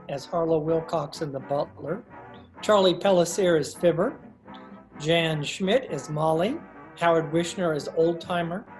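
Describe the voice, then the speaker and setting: A woman with a fairly low voice reads scripted closing credits as an announcer. Faint guitar music plays behind her. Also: darkish tone, poor audio. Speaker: announcer reading the cast credits.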